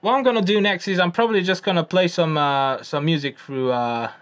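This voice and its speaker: Young male presenter, higher-pitched voice, informal tech explainer, hesitant and self-correcting. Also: a bit bright. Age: young adult. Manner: hesitant, halting delivery with repeated words and self-corrections. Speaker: presenter of an audio gear video. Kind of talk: casual unscripted technical explanation. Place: indoors, room at home.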